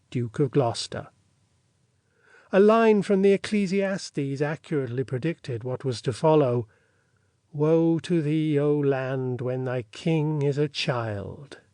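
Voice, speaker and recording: A man reading an audiobook in a measured, formal and theatrical way, as a scripted literary narration. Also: on the high side, darkish tone, dry recording, clean studio sound.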